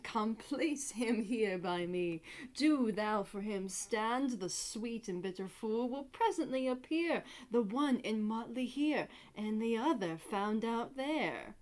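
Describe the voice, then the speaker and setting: Woman playing a high-voiced, impudent court fool. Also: darkish tone. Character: impudent court fool, witty, mocking, riddling, needling an old master. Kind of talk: Shakespearean stage monologue.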